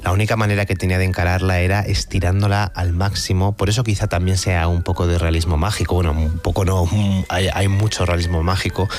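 Deep-voiced man musing in an interview. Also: flat delivery, a little mellow. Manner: reflective, thinks aloud with pauses. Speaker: author interviewed. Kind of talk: conversational radio interview.

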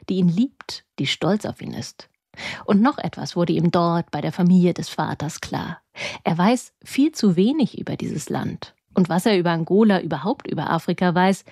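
Woman radio critic reading scripted review, soft dark tone, kinda low, very dry sound. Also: dry recording. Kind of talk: scripted narration, literary review.

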